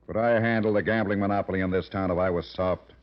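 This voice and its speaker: Casting: male actor as tough racketeer boss, low gruff voice, scornful, 1940s radio crime drama, muffled old broadcast. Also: fairly even intonation, fast talker, natural voice. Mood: scornful, impatient. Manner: tough, dismissive gangster talk. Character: gruff, irritable male nightclub owner and racketeer.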